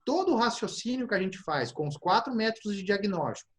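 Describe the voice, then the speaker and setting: A man from southern Brazil, a guest acupuncture professor, explains his method over a video call. His voice rises and falls a lot as he talks. He gets animated when he acts out the complaints that patients bring him. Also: high-pitched, a little fast. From Paraná, Brazil. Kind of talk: explanatory teaching talk.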